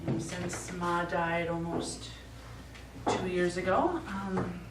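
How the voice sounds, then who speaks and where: A woman's voice: fairly low pitch, flat delivery, slow. An actress playing a grown daughter in a stage play, teasing yet businesslike as she talks with her elderly father. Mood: hesitant, careful. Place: small theatre stage, recorded from the audience. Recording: echoey theatre, far mic, noisy.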